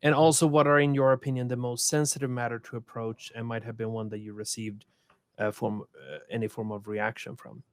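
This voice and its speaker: A man's voice: medium pitch, flat delivery, brisk, clean tone. A man from Sweden moderating a conference panel, speaking thoughtfully with many restarts and repeated words.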